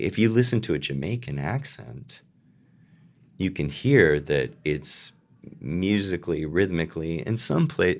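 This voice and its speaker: A man with an extremely deep voice and heavy vocal fry, speaking as a guest expert. He explains his craft in a reflective, measured interview style. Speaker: dialect coach and guest.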